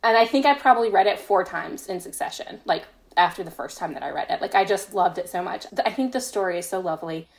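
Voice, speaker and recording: A woman's voice: lowish voice, animated, quick pace, creaky, hardly any pauses. A woman being interviewed as an author in a casual podcast chat, talking with gushing, emphatic enthusiasm. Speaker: interviewed fan fiction author.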